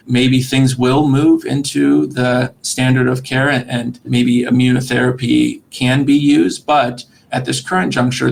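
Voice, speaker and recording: A man speaking as a medical expert guest, explaining in a measured, articulate way with a gravelly, creaky voice. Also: medium pitch, slight background noise, rough recording. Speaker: surgeon-researcher guest. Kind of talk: podcast interview explanation.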